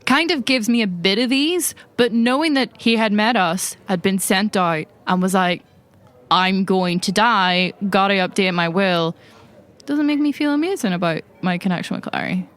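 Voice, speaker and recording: A woman's voice: mid-range voice, moderate pace, fairly bright tone, lightly acted. A woman playing a keen, wry, somewhat possessive agent in comic audio drama dialogue. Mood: uneasy.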